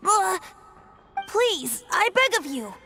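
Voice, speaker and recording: A playful young male bard with a high, boyish tone. The delivery is theatrical and teasing, sweeping animatedly up and down like a showman, with soft game music underneath. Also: big pitch swings, takes it slowly, heavily acted. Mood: pleading. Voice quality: boyish, light. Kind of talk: video game character acting.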